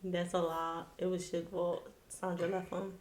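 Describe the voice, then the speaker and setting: A woman on a podcast banters in a playful, teasing way, her voice on the lower side for a woman. The room is echoey around her. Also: level tone, measured pace, darkish tone. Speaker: podcast co-host. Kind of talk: casual chat.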